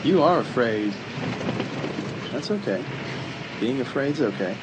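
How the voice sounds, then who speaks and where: A man's voice: mid-range voice, slow pace. Cool, teasing teenage boy. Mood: calm, reassuring. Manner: calm, teasing. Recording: loud vehicle and outdoor noise behind.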